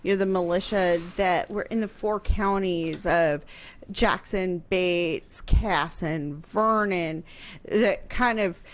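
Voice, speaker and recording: A woman's voice: fairly low pitch, slow. A woman historian, a guest on a radio show, explaining history casually and hesitantly with many ums. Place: radio studio. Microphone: studio microphone. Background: faint music.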